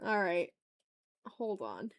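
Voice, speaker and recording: A woman's voice: mid-range voice, very animated, takes it slowly. A woman hosting a casual art livestream, thinking aloud as she draws and chatting to viewers in informal, slangy, effusive language. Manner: thinks aloud while working. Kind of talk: casual livestream chat while drawing.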